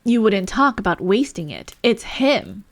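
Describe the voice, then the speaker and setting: A woman's voice: mid-range voice, lively intonation, measured pace, no echo. A woman voicing an eccentric male hat-maker, haughty and mournful, in a storybook reading. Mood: contemptuous.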